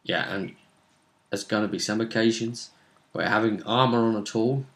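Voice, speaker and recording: A man's voice: lowish voice, normal speed, a bit bright. A man chatting casually as game master, recapping a tabletop role-playing session and often trailing off mid-sentence.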